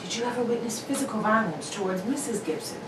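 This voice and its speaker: A woman playing a brisk, confident, analytical detective, reasoning precisely in the manner of an old radio mystery. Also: mid-range voice, animated, close to an everyday voice. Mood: probing, thoughtful. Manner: precise, probing, theatrically emphatic reasoning. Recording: roomy, distant, noisy home recording.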